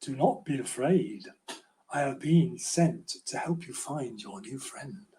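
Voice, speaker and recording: An older man voicing a calm, reassuring magical blue horse in a children's storybook. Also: mid-range voice, slow, pitched below the performer's own voice.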